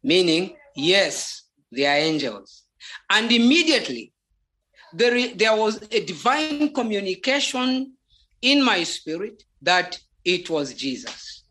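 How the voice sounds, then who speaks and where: Testimony speaker, Zambian accent, Bemba native. Mid-low, unhurried delivery, halting and deliberate, with emphatic repetition. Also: big pitch swings, bright, forceful tone. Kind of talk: spoken personal testimony.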